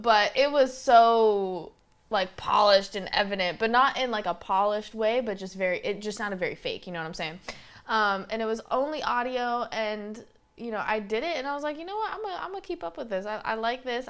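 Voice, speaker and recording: Chatty young woman with smooth voice. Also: a little high, brisk, a bit bright. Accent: slight Southern US twang. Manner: chatty, rambling, many fillers. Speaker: podcast host. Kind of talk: casual solo podcast monologue.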